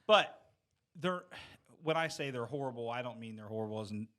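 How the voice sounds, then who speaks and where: A man's voice: medium pitch, animated, brisk, fairly bright tone. A man chatting casually in a group, rambling and often backtracking mid-sentence.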